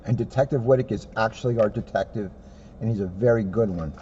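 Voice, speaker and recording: A man talks casually, explaining things as a police officer. His voice is fairly low and very soft-edged and mellow. The sound is somewhat muffled, with faint noise. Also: quick pace. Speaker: police officer commenting during a read-aloud.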